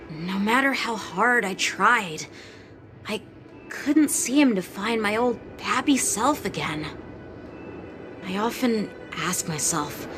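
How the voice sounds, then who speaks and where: A woman voicing an earnest, serious young boy sword apprentice in a game cutscene, speaking in a reflective, measured way. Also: mid-range voice. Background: game score music.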